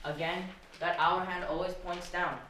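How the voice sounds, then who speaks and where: A woman's voice: on the low side, steady tone, moderate pace, pushed and bright. A woman playing a restless worker who questions the drudgery, with theatrical, probing delivery in an amateur stage play. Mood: weary. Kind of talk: amateur stage one-act play, absurdist dialogue. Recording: very echoey hall, distant mic, faint noise.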